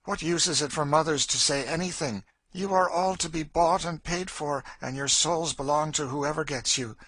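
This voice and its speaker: Man voicing a bitter woman, raised and forceful. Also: a little high, normal speed, no room sound, voice pushed up, low-quality recording. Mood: bitter, hard. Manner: dry, emphatic, fatalistic. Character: bitter, despairing, world-weary enslaved woman. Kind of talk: audiobook character acting.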